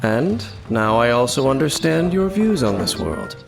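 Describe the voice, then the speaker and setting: An actor playing a stoic young male wanderer in a game, calm and measured. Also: mid-range voice, creaky. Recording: game audio with music underneath.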